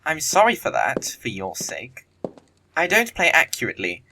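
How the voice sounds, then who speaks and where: An actor playing a flippant, witty young upper-class English bachelor in an audio play, with arch, lofty, affected phrasing. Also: male voice, a little high, huge pitch swings, moderate pace, noisy background. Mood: breezy, self-satisfied. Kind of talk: audio play acting of Victorian comedy of manners.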